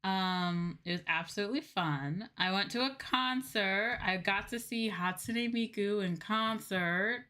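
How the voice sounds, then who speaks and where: A woman's voice: mid-range voice, measured pace, few pauses, bright, forceful tone. A woman livestream host chatting casually to her viewers in an informal, gushing way. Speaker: game livestream host, VTuber.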